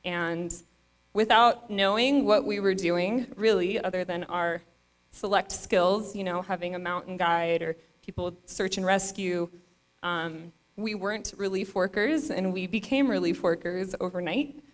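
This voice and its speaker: A woman's voice: fairly low pitch, a little slow. A young woman on a stage mic, giving an earnest acceptance speech with many ums and restarts. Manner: earnest, with frequent fillers and restarts. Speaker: award recipient giving a speech. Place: stage in a dark event venue. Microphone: stand mic.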